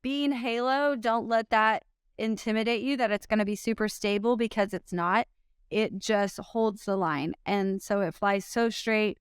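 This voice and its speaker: A woman in her thirties from Oklahoma, a pro disc golfer, answering interview questions in an upbeat, chatty way with frequent ums and quick laughs. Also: medium pitch, clear, resonant tone, dry recording, studio quality.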